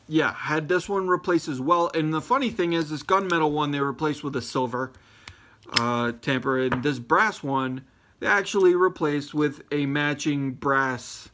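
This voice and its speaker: A man's voice: mid-range voice, creaky, a bit bright. A man raised in Florida talking casually about his lighters, rambling with many fillers and restarts. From Florida, born in Ecuador. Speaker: pipe-smoking YouTuber showing his lighters. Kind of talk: casual show-and-tell video reply.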